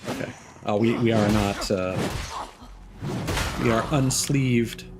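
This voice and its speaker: A man's voice: lowish voice, takes it slowly, background noise. A man streaming a video game, casually thinking aloud and hesitating with fillers as he plays. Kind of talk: casual gameplay commentary.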